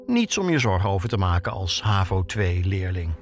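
A man's voice: low voice, very animated, moderate pace, music in the background. A man with a Netherlands Dutch accent narrating a scripted podcast as its host, telling it personally and posing rhetorical questions.

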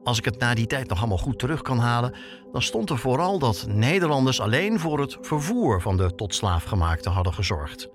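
A man's voice: mid-range voice, sing-song, a little fast, faint music behind. A man with a Netherlands Dutch accent narrating a scripted podcast as its host, telling it personally and posing rhetorical questions.

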